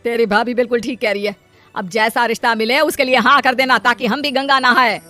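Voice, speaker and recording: A woman's voice: fairly high pitch, steady tone, fairly quick, sharp tone, faint music behind. A woman voicing a nagging middle-aged mother of grown children in a moral-story cartoon. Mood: scolding. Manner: scolding, exasperated.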